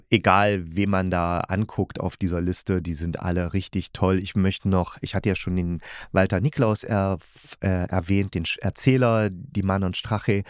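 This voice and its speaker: A man's voice: low voice, no room sound, clean studio sound. A man hosting a casual review monologue, talking enthusiastically and in a rambling way as he searches for words. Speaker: podcast host.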